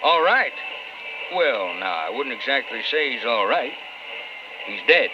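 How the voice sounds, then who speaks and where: A man's voice: mid-range voice, big pitch swings, measured pace. An actor playing a blunt, callous policeman in an old-time radio drama. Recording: noisy, muffled vintage radio recording.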